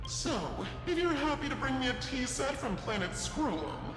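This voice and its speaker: A woman's voice: high-pitched, very animated, moderate pace. A woman acting as a playful, teasing ghostly observer in a video game. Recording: echoey hall reverb under loud music.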